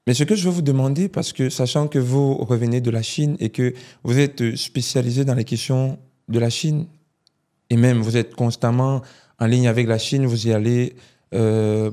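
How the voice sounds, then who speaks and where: Formal male interview host, warm tone. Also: mid-range voice. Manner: formal introductions, long probing questions. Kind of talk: hosting a business interview show.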